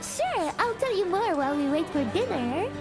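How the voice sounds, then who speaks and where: An excitable young wolf girl with a tiny, very high voice. She boasts playfully in swooping, childlike tones, as a visual novel heroine over prominent music. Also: moderate pace, exaggerated voice. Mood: boastful, storytelling. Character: cheerful boastful young wolf-girl village guardian and huntress. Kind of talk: animated visual novel voice acting.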